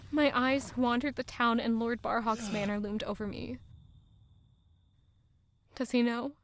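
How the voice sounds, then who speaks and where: A woman's voice: fairly high pitch, a bit bright. An actress playing a haunted, bitter young woman who narrates her own story in an audio drama with dramatic intensity.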